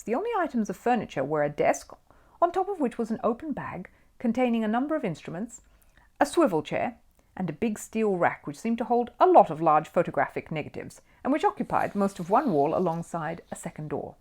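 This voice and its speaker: A woman reading a children's storybook aloud in an expressive storytelling manner. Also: fairly low pitch. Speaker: audiobook reader. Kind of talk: scripted narration of a children's storybook.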